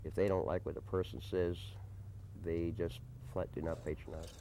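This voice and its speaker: A man with a deep, mellow American voice talks in a deliberate, folksy manner. He sounds like an interviewee in an unscripted documentary, caught on an early nineties field recording with slight background noise. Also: flat delivery. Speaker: interviewee, desert camp resident. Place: informal desert camp on location. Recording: noisy early 1990s field video recording.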